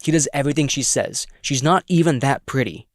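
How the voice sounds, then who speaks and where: A man's voice: mid-range voice, brisk, dry recording, lightly acted. A man plays a troubled ghost boy in a script table read. He is sullen and resentful, with an angry, bitter edge. The sound is close and dry. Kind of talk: screenplay table read acting.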